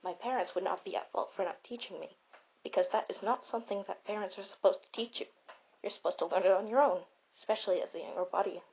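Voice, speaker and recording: A woman playing a caring but blunt, self-important robot in a comfort roleplay, speaking in a deliberate, declamatory way. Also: medium pitch, a little mellow, slightly rough. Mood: solemn storytelling. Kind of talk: comfort roleplay monologue with storytelling. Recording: slightly dull, raw home recording.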